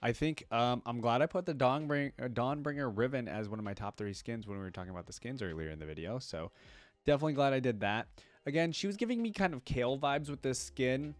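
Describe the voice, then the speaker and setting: A man's voice: mid-range voice, expressive, quick pace, no echo. A man hosting a reaction video, giving casual commentary in an informal, musing way.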